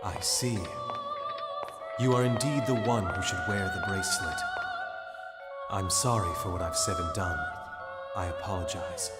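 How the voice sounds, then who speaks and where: A noble, proud game warrior speaks low in formal, solemn phrases. His voice rings with long reverb beneath loud music. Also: wildly swooping pitch, a little slow, pauses often. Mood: apologetic. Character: proud, noble male warrior, earnest and self-reproaching. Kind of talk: dubbed game cutscene acting.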